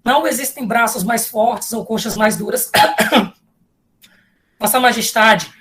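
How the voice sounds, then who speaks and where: A woman's voice: a little high, slow pace, fairly bright tone. A woman playing a bold, indignant council member who speaks theatrically and with passion in a comic epic audio drama. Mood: indignant, impassioned. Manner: theatrical, impassioned.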